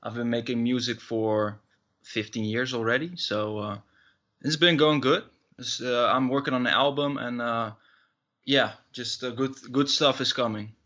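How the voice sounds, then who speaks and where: Hesitant Dutch-accented man, bright tone. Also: mid-range voice, steady tone, moderate pace, highs cut off. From Netherlands. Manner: hesitant, many fillers and restarts. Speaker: musician guest. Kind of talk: casual interview answers.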